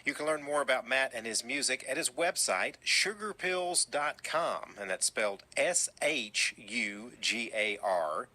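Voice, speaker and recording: A male college radio host with a very bright, sharp tone and a middle-range pitch. He asks friendly, encouraging questions and closes with a neat, formal sign-off. The recording sounds tinny and phone-like. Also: animated, unhurried, few pauses. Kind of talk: radio interview.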